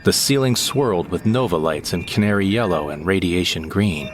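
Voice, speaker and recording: Male narrator, deep and wry, first-person storytelling over audible noise. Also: moderate pace.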